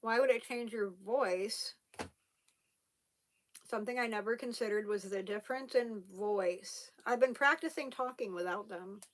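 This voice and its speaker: A woman's voice: on the high side, a little slow, poor audio. A young woman with a slight lisp, chatting casually to camera at home as she reads viewer comments, laughing often. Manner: chatty, laughs often, many fillers and restarts. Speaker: vlogger reading viewer comments.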